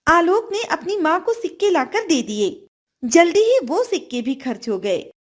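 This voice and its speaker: A woman storyteller with a high, melodic voice that moves freely up and down. She reads scripted narration for a children's tale. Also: sing-song, a little slow.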